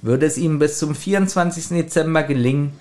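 A man with a slightly high voice co-hosts a podcast. He talks in a casual, bantering way, as among friends, and sometimes reads text aloud. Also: quick pace, darkish tone, slight background noise. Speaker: podcast co-host.

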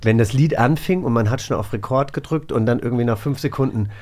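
A man's voice: mid-range voice, fast, a little mellow. Middle-aged man hosting casual chat. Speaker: podcast host.

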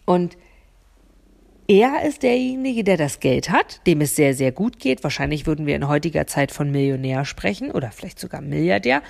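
Low, creaky-voiced woman podcasting. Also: animated, normal speed, a little mellow. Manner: hesitant, searching for words, repeating words for emphasis. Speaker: podcast host. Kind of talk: unscripted solo podcast monologue addressed to the listener.

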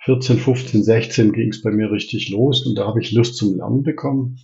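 A man in his sixties speaks with a Swabian German accent, his low voice carrying a gravelly edge. He answers interview questions in a relaxed way, pausing and restarting often. The recording sounds dull and lo-fi. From Reutlingen, southern Germany. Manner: relaxed, with frequent hesitations and restarts. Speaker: interview guest, interim executive.

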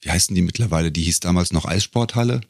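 Deep, creaky middle-aged man. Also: fast, no echo, studio quality. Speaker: podcast guest, singer and professional voice-over speaker. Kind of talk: casual conversational storytelling.

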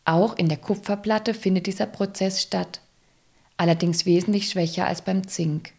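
A woman's voice: mid-range voice, steady tone, normal speed, vocal fry, a little mellow. A woman reading scripted, explanatory narration for an educational audio drama.

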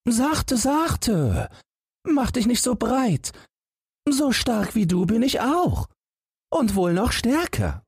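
Fearless fairy-tale youngster, very high voice, strained, cheeky, simple-minded, singsong. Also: male voice, wildly swooping pitch, slow, breathy edge, dry recording, heavily acted. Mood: cocky, defiant.

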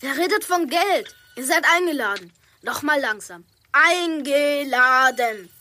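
A brash, generous boy from a youth detective radio play. The voice is high and bright, with cheeky, emphatic delivery, over a noisy recording. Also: expressive, measured pace, exaggerated voice. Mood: exasperated, generous. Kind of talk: German children's audio drama acting.

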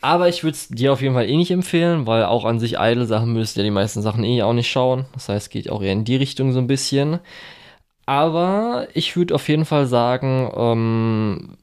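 A man's voice: medium pitch, brisk, a little mellow, clean tone. A man co-hosting a chatty podcast, rambling with many fillers and restarts. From Germany. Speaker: podcast co-host. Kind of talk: casual chat.

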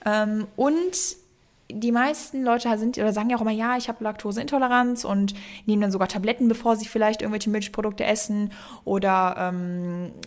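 A woman's voice: mid-range voice, fairly even intonation, moderate pace. A young woman co-hosting a casual podcast, chatting freely with many fillers and restarts and now and then slipping into English. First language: German. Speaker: podcast co-host.

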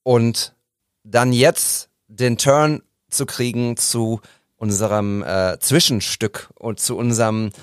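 A man's voice: medium pitch, steady tone, slow, clean tone, no room sound, studio quality. A man hosting a podcast, talking casually with many hesitations and fillers. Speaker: podcast host.

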